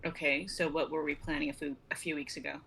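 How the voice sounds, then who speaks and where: A woman's voice: sits low, little pitch movement, fast, creaky, fairly bright tone, slight background noise. A woman playing an earnest, insistent tech officer in a dramatic sci-fi script reading.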